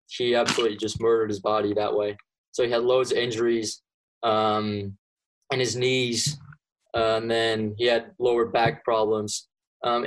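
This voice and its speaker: A man's voice: on the low side, rough recording. A young man from Belgium, answering interview questions casually with lots of ums and restarts. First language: Dutch (Flemish). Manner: relaxed, many ums and restarts. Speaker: golfer being interviewed.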